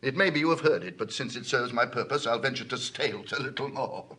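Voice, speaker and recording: An actor playing a genial, wily Roman patrician in a Shakespearean drama, with a husky voice and a teasing, theatrical delivery. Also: male voice, medium pitch, fast, pushed and bright. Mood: sly storytelling. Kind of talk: classical Shakespearean stage acting.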